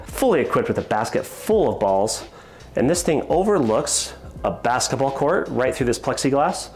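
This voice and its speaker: An enthusiastic man in his thirties leads a walkthrough tour of a big empty home, talking to camera as he points things out. He has a mid-range voice. The bare rooms give his voice a roomy echo. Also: mid-range voice. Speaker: real estate agent giving a house tour. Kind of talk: walkthrough house tour narration. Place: empty large house, echoey tiled rooms and basement. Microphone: handheld camera, small clip-on mic.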